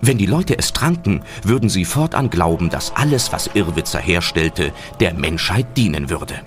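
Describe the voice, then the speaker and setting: A man with a low voice tells a children's story with extremely animated, swooping intonation. It is scripted audio drama narration, with music clearly behind him. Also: moderate pace.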